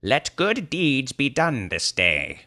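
A man's voice: mid-range voice, wildly swooping pitch, takes it slowly, pushed and bright, voice pushed up, full character voice. A man voicing a pompous old bearded sorcerer who declaims in archaic speech.

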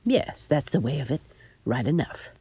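A woman's voice: rumbling low, very animated, moderate pace, dark tone, husky, heavily acted. A woman voicing a stern old country grandmother, grimly exasperated, who mutters and scolds.

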